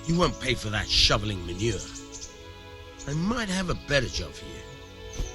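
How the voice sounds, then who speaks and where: An actor playing a shrewd, amoral village miller in a medieval video game, gruff, coarse and slyly persuasive. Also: male voice, medium pitch, sing-song, normal speed, music in the background, lightly acted. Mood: sly, coaxing. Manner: sly, persuasive, coarse-tongued. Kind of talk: video game dialogue acting.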